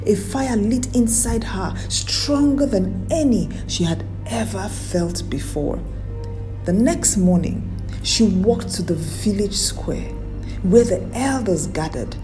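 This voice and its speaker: Slow, expressive woman storyteller. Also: mid-range voice, over music. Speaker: storyteller, narrator. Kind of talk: scripted narration of a folktale.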